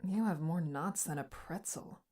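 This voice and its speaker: A woman's voice: low-pitched, a little slow, a little mellow. A woman playing an adventurer's teasing, affectionate partner in an audiobook, with a playful and tender way of talking. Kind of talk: audiobook character dialogue. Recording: music under the voice.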